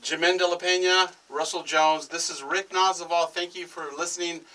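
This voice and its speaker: A man hosting a talk show, asking quick questions and joking with his guests. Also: high-pitched, little pitch movement, bright, forceful tone. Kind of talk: casual interview chat. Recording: distant mic with faint noise.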